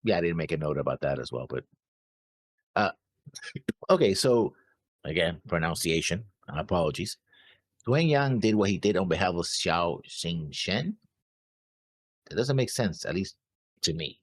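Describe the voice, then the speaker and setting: A man co-hosting an anime reaction show, reading his notes in a hesitant, halting way and trailing off at the ends of phrases. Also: deep voice, big pitch swings, no room sound. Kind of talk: casual discussion of an anime episode.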